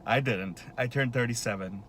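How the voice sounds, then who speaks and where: A man's voice: medium pitch, normal speed, fairly bright tone, a little hiss or hum. A man in his late thirties talking in a casual, rambling monologue, with lots of fillers and restarts. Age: 30s. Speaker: vlogger.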